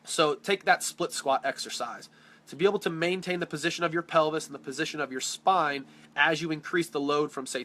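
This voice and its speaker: Male fitness coach, blunt and direct, stuffy congested voice, bright tone, webcam live stream. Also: fairly high pitch. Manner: direct, blunt, answers viewer questions by name. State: congested from a cold. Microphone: phone or webcam on a live stream.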